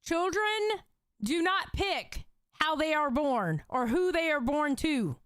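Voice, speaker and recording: A woman's voice: sits high, expressive, slow, fairly bright tone, dry recording, clean studio sound. Blunt woman reacting to clips. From Puerto Rico. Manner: blunt, heated, reads out chat comments. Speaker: reaction streamer. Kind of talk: casual commentary reacting to clips.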